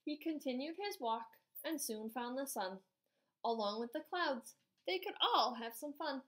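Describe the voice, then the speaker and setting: A woman in her thirties, an art teacher, reading a children's storybook aloud indoors with expressive, measured delivery. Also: high-pitched.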